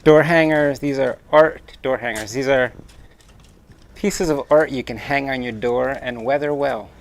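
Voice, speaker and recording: A man's voice: mid-range voice, measured pace. A man in his thirties co-hosting a live craft stream from a workshop, chatting and teasing playfully while he works, picked up by a camera mic. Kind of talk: casual joking chat while crafting.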